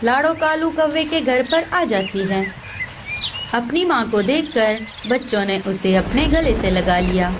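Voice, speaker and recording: A woman's voice: on the high side, lively intonation, measured pace, noisy background. A woman narrating a children's animal story as scripted cartoon narration.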